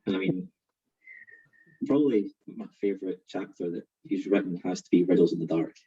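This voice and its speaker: A man's voice: sits low, animated, brisk, warm tone, slightly rough. A man chatting casually in a book discussion, recorded in muffled lo-fi audio.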